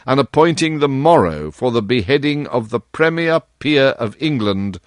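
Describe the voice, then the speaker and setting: A man narrating a classic tale as a storyteller, in a measured, dramatic scripted style. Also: medium pitch, sing-song, no echo, studio quality.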